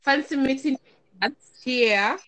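A woman's voice: high-pitched, takes it slowly, a bit bright, rough recording. A woman hosting a podcast in a chatty, playful way. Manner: chatty, playful, laughs often. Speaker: podcast host. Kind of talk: casual chat.

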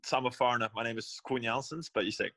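A man's voice: medium pitch, fast talker, bright, forceful tone, dry recording. Rambling young man, dry sound. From Belgium. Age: young adult. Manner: rambling, restarts and self-corrections. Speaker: guest winemaker. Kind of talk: casual interview.

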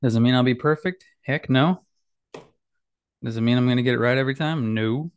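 A man hosting his solo podcast from a small home office on a boom mic, chatting casually in a rambling, self-deprecating way full of fillers and restarts, with a slightly nasal voice. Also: a little fast, slight background noise. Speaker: podcast host. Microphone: boom mic with headphones.

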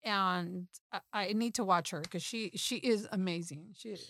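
A woman's voice: medium pitch, a little slow, fairly bright tone, dry recording. A middle-aged woman, a podcast guest, bantering casually and bluntly, swearing and repeating words for emphasis.

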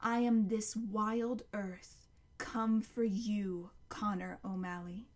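A woman's voice: mid-range voice, fairly even intonation. A woman voicing an ancient, towering tree monster in a storybook, proud and menacing, speaking in a grand, declamatory way. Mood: commanding. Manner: grand, slow, declamatory, building to a roar.

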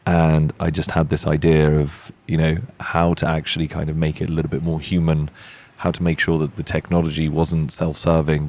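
A man in his thirties from the Czech Republic with a British accent, talking casually and self-deprecatingly in a live interview at a hotel. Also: a bass voice. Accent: British English. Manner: relaxed, self-deprecating, many fillers. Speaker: software company founder. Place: hotel lounge.

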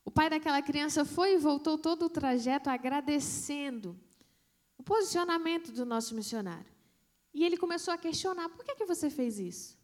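Woman preaching in hushed tones. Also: sits high, lively intonation. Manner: storytelling with dramatic pauses, hushed emphasis. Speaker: missionary preacher. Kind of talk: sermon testimony.

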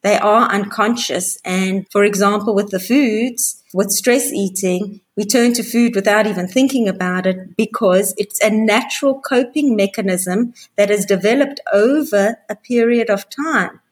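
A woman's voice: mid-range voice, unhurried, hardly any pauses, breathy edge. Woman, earnest and reflective. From South Africa. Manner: earnest, reflective, speaks in flowing repeated phrases. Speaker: guest coach. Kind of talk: conversational interview.

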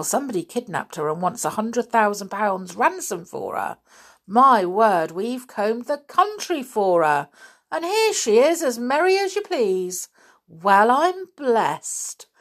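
A woman voicing a big, excitable male police inspector in a children's story, exclaiming in an emphatic, folksy way. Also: medium pitch, gravelly, breathy edge, voice pushed up, exaggerated voice. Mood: explaining.

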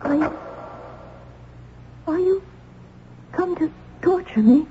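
An actress playing a frightened, gentle young maid held prisoner as a witch, tremulous and hesitant, in a radio drama. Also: sits high, expressive, takes it slowly. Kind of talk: old-time radio acting. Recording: tinny, hissy old radio recording.

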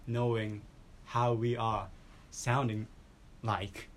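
A man's voice: lowish voice, level tone, slow pace, a little hiss or hum. A man with a Japanese accent hosting a casual chat, often restarting his phrases. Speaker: host.

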